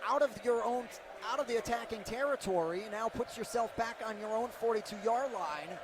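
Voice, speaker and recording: High-voiced male football color commentator. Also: normal speed, crowd noise. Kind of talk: live game analysis. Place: football stadium broadcast position. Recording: faint stadium background noise.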